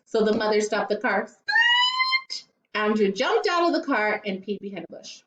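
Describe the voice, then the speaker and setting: A woman teacher reading a storybook aloud to children in an expressive way, with playful asides. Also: medium pitch, moderate pace. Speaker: primary school teacher. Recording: echoey, slightly dull room recording.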